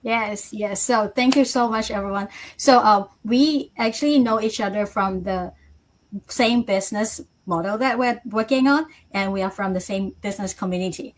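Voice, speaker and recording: A woman from Malaysia hosting a live online interview, polite and measured as she introduces her guests over a video call. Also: mid-range voice. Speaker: interview host.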